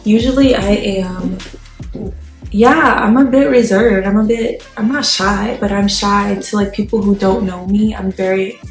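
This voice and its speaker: A woman's voice: medium pitch, fairly even intonation, unhurried, faint music behind. A woman in her twenties from Texas with an American accent, talking in a chatty, slangy, conversational way as she shares personal stories with viewers. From Texas, United States. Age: 20s. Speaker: YouTube vlogger. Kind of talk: casual personal storytelling to viewers. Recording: echoey room, faint background noise.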